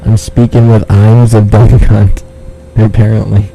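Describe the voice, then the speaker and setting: A man's voice: fairly low pitch, level tone, unhurried, gravelly, mellow. A man making a prank phone call, talking in a crude, taunting way and swearing, over a noisy phone line. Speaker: prank caller.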